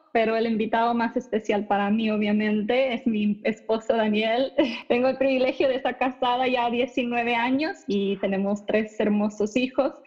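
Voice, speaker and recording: Podcast host, woman, higher voice, chatty introductions, dull lo-fi recording. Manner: introduces guests warmly, laughs often. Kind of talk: casual conversational interview. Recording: dull lo-fi audio.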